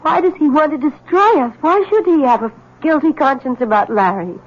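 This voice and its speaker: An anxious young wife on old radio. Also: female voice, sits high, expressive, brisk. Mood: troubled. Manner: pleading, anxious. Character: frightened beautiful young wife with a secret. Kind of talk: 1970s radio drama acting. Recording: tinny old radio broadcast with faint hiss.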